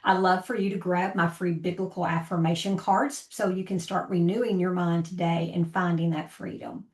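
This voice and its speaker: A woman's voice: lowish voice, flat delivery, moderate pace, darkish tone. Woman podcast host, life coach, encouraging, echoey room. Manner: encouraging, affirming interjections. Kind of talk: podcast interview hosting. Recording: roomy echo.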